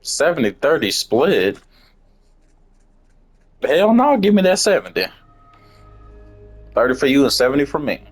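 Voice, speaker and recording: Male gameplay streamer, lively swooping pitch, casual slang, irreverent, swearing, faint music behind. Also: unhurried, long pauses. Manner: irreverent, profane. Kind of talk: casual game commentary with slangy reactions. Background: faint game music.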